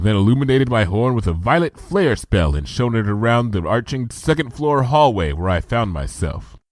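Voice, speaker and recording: A man's voice: mid-range voice, dry recording. A man reading a comedy adventure story aloud with vivid first-person action narration. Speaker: fanfiction reader.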